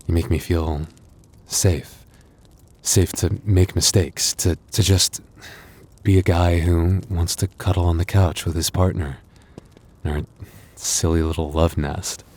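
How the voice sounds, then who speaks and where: A man playing a gentle, anxious young werewolf boyfriend in an intimate audio roleplay, speaking softly and haltingly. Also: sits low, steady tone. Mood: tender. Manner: intimate, hesitant, soft-hearted, halting.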